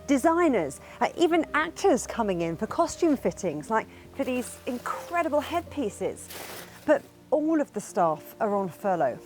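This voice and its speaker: A woman's voice: on the high side, a little mellow. A woman reporter reading a scripted television news feature in a playful storytelling way.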